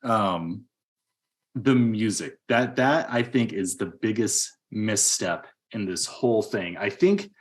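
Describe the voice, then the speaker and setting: A man's voice: on the low side, takes it slowly. A middle-aged man giving his opinions in a casual, rambling movie podcast chat. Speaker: podcast host.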